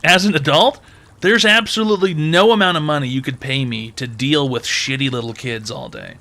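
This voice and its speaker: A man's voice: a little high, moderate pace, pushed and bright. A man hosting a call-in advice show, brash and mocking with crude, profane jokes.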